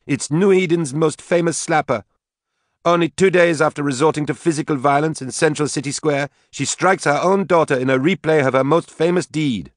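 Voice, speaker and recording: A man acts out a drunken young woman from a novel, pitched up high and declaiming loudly. She is bitter and defiant, and every phrase drips with theatrical sarcasm. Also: normal speed, no echo, studio quality, natural voice.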